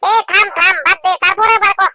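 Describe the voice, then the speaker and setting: A man voicing an inquisitive, impatient village friend in a comedy cartoon, with a rural Bangladeshi accent and a squeaky pitched-up voice. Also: steady tone, fairly quick, pushed and bright, exaggerated voice.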